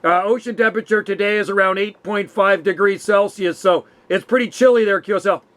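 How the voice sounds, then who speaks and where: A man's voice: high voice, brisk, very noisy. A man with a North American accent chatting casually and easily as an amateur radio host. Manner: easygoing, chatty, says "man" often.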